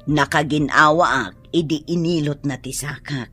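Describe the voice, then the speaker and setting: A woman's voice: low-pitched, moderate pace, fairly bright tone, breathy edge, soft background music. A woman playing an aching, complaining mother with a Filipino Ilocano accent in a comic radio drama, plaintive and given to exclaiming. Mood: relieved, grateful. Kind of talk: radio drama comedy acting.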